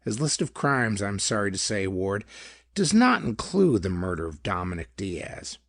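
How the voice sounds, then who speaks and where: A man's voice: lowish voice, sing-song, normal speed, gravelly, no echo, studio quality, heavily acted. A man voicing a chubby, superior male detective in a pulp audiobook, with formal, pedantic, emphatic phrasing. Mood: precise, dismissive.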